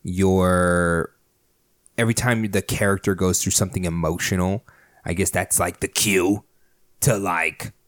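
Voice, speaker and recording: A man's voice: sits low, measured pace, no echo, studio quality. A man co-hosting a podcast in casual chat, teasing and trolling with jokes. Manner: teasing, joking deadpan trolling. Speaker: podcast co-host.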